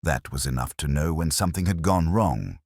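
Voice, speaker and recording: A man voicing a formal, restrained grieving widow in a mystery story, speaking calmly and carefully. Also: a bass voice, a little fast, vocal fry, no room sound, studio quality, heavily acted. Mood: resigned.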